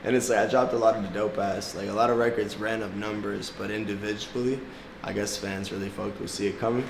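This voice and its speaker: Laid-back young man, gravelly low voice. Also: fairly even intonation, quick pace. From South Florida, United States. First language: Portuguese. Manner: laid-back, slangy, laughs often. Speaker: music artist guest. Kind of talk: casual interview chat. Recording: echoey room with background noise.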